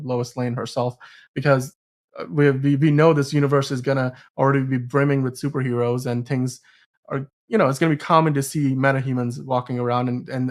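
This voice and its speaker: A man co-hosting a podcast, talking about film and TV in a casual, enthusiastic, rambling way. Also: mid-range voice. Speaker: podcast co-host.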